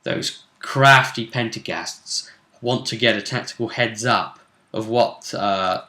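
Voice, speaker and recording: A man's voice: lowish voice, takes it slowly, bright, forceful tone, roomy sound. A man chatting casually as he hosts a recap of a tabletop role-play game.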